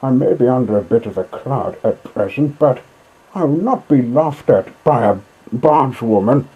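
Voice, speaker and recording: A man's voice: medium pitch, animated, moderate pace, slightly rough, phone-quality sound. A man voicing a pompous, conceited, hot-tempered toad from a storybook, declaiming indignantly. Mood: indignant, furious. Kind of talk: storybook character voice in an audiobook reading.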